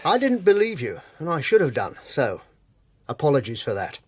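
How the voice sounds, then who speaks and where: Lively-pitched, husky police inspector. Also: male voice, dry recording. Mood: polite, brisk. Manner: deliberate, dry, colloquial. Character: measured, blunt, knowing male police detective inspector. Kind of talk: radio drama acting.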